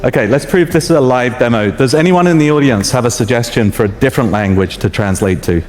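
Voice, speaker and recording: A man's voice: mid-range voice, fast, a little mellow. A man, a native Persian speaker, presenting a live product demonstration on stage. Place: stage before an audience. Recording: reverberant hall, faint music.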